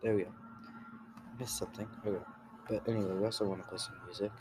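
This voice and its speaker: A man giving a casual screen-recorded software tutorial, halting as he thinks aloud while he works. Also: on the low side, steady tone, heavy background noise. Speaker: tutorial creator.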